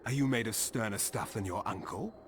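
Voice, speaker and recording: A man's voice: lowish voice, brisk, heavy background noise, lightly acted. A man playing a smug, taunting villain of a secret order, mocking and theatrical, in a video game cutscene. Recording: music behind the voice.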